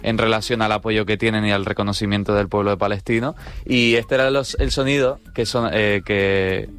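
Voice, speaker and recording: A man hosting a morning radio show, explaining items in a relaxed way. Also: lowish voice, moderate pace, clear, resonant tone. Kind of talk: casual radio chat.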